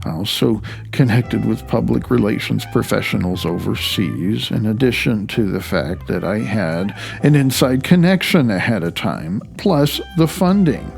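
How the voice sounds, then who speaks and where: A man's voice: on the low side, lively intonation, measured pace, vocal fry, few pauses, darkish tone. A man reading his own nonfiction audiobook in a wry, conversational way. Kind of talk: audiobook narration of personal essay. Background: music.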